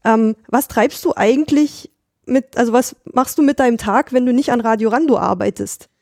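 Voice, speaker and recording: A woman's voice: on the high side, normal speed, a little mellow, no echo, clean studio sound. A woman co-hosting a podcast, asking a guest questions in a casual, curious way. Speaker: podcast co-host. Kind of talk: casual interview.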